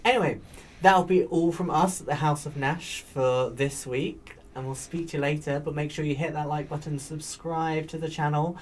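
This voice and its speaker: A man hosting a casual podcast chat, rambling with plenty of fillers in a soft, soothing voice. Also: on the high side, steady tone, moderate pace. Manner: rambling, chatty, with many fillers. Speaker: podcast host. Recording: faint background noise.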